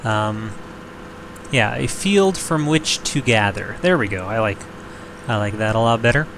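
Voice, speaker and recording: A young man hosting a farming game let's play, slightly hoarse and rough-voiced, rambling and joking at himself as he thinks aloud. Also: fairly low pitch, animated, unhurried, background noise. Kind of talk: casual gameplay commentary.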